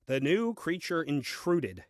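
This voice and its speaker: A man's voice: on the high side, very animated, slow, no echo. An actor playing a grumbling, self-important first man reading his diary in a comic audio play, with dry, fussy delivery.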